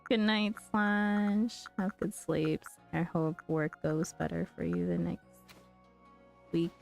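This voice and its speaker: A woman's voice: lowish voice, steady tone, takes it slowly, creaky, darkish tone, soft background music. A woman chatting casually on a live game stream as its host, thinking aloud in a friendly, chatty way.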